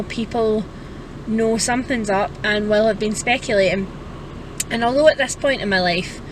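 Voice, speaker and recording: A woman chats informally to her vlog audience in a mid-range voice. She is candid and gently self-deprecating. Some background noise sits behind her. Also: medium pitch. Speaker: book vlogger. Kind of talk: casual vlog chat to camera.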